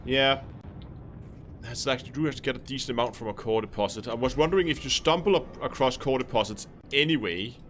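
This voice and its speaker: Danish male streamer, mid-pitched, low-key thinking-aloud commentary, faint hum behind. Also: fairly quick, fairly bright tone. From Denmark. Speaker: game streamer. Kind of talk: casual live-stream commentary while playing.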